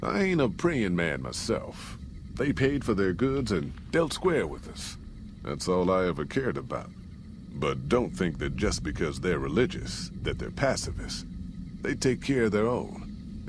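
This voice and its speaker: An American actor playing a gruff, folksy caravan boss in a post-apocalyptic video game, with plain-spoken frontier drawl. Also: male voice, sits low, very animated, a little slow, noisy background, heavily acted. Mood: matter-of-fact. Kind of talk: scripted video game character dialogue.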